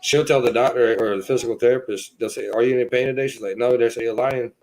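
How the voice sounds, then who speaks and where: A man in his thirties telling his personal story as a podcast guest, earnest and halting, filling his pauses with "you know". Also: medium pitch, fairly even intonation, a little mellow, low-quality recording. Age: 30s.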